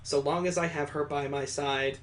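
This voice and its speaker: Higher-voiced man reading a visual novel. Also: fairly even intonation, moderate pace. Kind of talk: narration with casual commentary.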